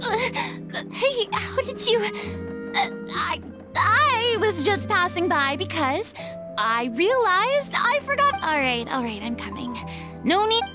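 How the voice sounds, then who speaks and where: A woman's voice: extremely high pitch, very animated, slow pace, exaggerated voice. A woman playing a young former stage star in a video game, proud but sheepish, with theatrical formal phrasing. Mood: flustered, sheepish. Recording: music behind the voice.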